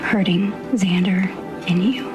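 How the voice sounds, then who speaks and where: Conflicted soap heroine female actor: medium pitch, soft halting breathy delivery, loud music behind. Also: lightly acted. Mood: vulnerable, earnest. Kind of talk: soap opera acting. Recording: loud music over the dialogue.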